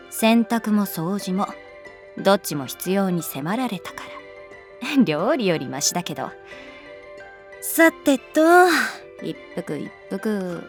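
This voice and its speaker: Wry, laid-back teacher, lively intonation. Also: female voice, mid-range voice. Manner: casual, teasing, nonchalant. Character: wry, laid-back adult female schoolteacher, quietly indulgent. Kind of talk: Japanese voice drama acting, natural dialogue.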